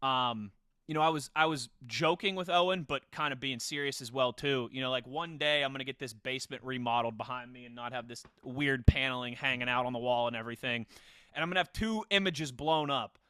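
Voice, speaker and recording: A man's voice: mid-range voice, a little fast, pushed and bright, smooth, no room sound, studio quality. A man hosting a casual, conversational sports podcast, chatting about football. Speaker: podcast host.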